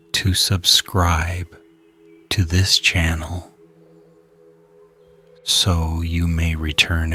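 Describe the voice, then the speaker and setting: A man leads a scripted guided sleep hypnosis in a soft, breathy, often near-whispered voice, soothing and hushed, with lingering pauses between phrases. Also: sits low.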